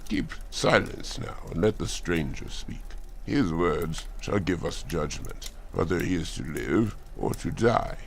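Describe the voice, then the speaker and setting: Man as a stern chieftain, low, rough-edged voice, authoritative, measured, archaic drama lines. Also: animated, slight background noise, heavily acted. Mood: commanding. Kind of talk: audio drama with archaic speech.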